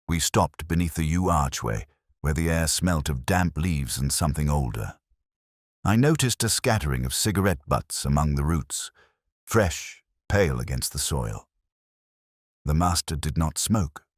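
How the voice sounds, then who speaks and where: A man playing a weary, brooding, sharp-minded police inspector who narrates his own detective story in a measured, wry, literary way. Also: extremely low pitch, no echo, clean studio sound, exaggerated voice. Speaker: first-person narrator.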